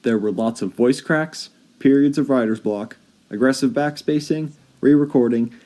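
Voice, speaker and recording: A man's voice: medium pitch, normal speed, warm tone. A man reading a scripted introduction. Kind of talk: scripted narration. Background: music.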